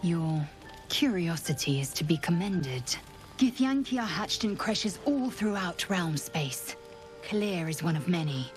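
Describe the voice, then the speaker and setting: A woman's voice: on the low side, expressive, measured pace, soft background music. An actress playing a haughty, stern alien warrior woman in a fantasy game, with clipped, imperious, formal delivery. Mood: haughty, brooding.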